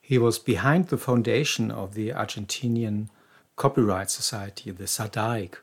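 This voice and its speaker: Man explaining tango history, halting restarts. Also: fairly low pitch, slightly rough. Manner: knowledgeable, with frequent restarts and "the, the". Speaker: podcast co-host. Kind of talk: casual conversational explanation.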